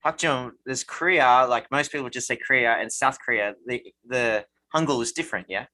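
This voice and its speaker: Bright-voiced Australian man hosting. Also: medium pitch, moderate pace. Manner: easygoing, leads the talk. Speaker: podcast host. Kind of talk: casual chat.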